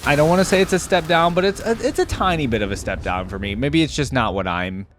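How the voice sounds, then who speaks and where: Casual male reactor, animated swings in pitch. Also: quick pace, background noise. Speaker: reactor, video creator. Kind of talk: casual off-the-cuff reaction commentary.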